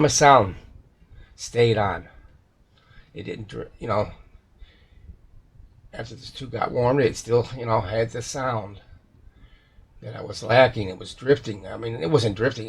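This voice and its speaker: Male repair technician, heavy fry and a husky edge, unscripted and rambling, thinking aloud. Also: mid-range voice. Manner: rambling, hesitant, self-correcting. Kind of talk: casual unscripted repair walkthrough.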